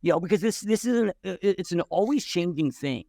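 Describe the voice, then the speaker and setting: A man's voice: high-pitched, expressive, brisk, gravelly, mellow, no room sound, studio quality. A man in his forties, a podcast guest who tells his story bluntly and casually, swearing freely with many restarts and "dude"s.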